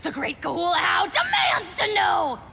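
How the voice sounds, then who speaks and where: A woman's voice: very high voice, wildly swooping pitch, moderate pace, gravelly, sharp tone, shouting, a little hiss or hum, exaggerated voice. A woman voicing a pompous, tiny ancient dragon in a video game, theatrical and scornful. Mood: gleeful, demanding. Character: haughty, bombastic, tiny ancient dragon demanding worship. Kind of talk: video game character acting.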